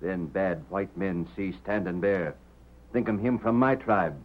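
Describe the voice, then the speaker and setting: An actor playing a solemn, cautious village chief in stilted, terse broken English in a 1930s radio western. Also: male voice, sits low, a little slow. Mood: grave, warning. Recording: tinny, band-limited old 1930s radio recording.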